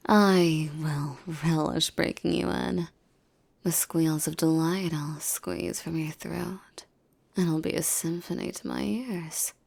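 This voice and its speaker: A woman playing a possessive, menacing female demon in an intimate roleplay, speaking formally and commandingly, coaxing and often breathy. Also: lowish voice, a little mellow, full character voice. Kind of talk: roleplay ASMR acting, intimate monologue.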